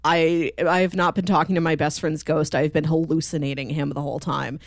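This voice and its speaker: A woman co-hosting a podcast in casual chat, telling stories in an animated way and acting out quoted dialogue. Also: low-pitched, brisk, no room sound, clean studio sound. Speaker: podcast co-host. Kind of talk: casual chat about a TV cartoon.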